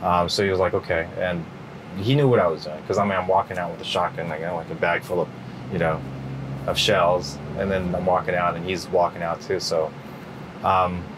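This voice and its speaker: A middle-aged man answering interview questions in a relaxed, rambling way, full of fillers and restarts. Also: sits low, fairly quick, background noise. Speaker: interview guest. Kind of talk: casual interview.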